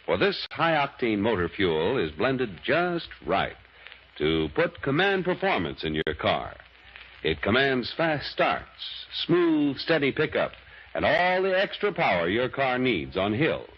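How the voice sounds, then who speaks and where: A male announcer from 1940s radio delivers emphatic copy. His mid voice is lively in pitch and slightly husky, on a dull old recording with faint music.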